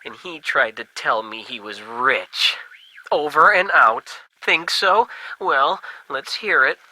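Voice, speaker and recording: An actor playing a folksy, skeptical working-class ham radio buddy in a video game, talking in casual old-fashioned slang. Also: male voice, medium pitch, slow pace, bright, forceful tone, no room sound, full character voice, poor audio. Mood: wry.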